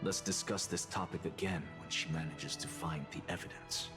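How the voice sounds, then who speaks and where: An actor playing a detached, ambitious male scholar in a video game, speaking calmly and loftily over music. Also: sits low, moderate pace, natural voice. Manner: calm, detached, lofty. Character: cold ambitious male scholar craving godhood. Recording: game audio under music.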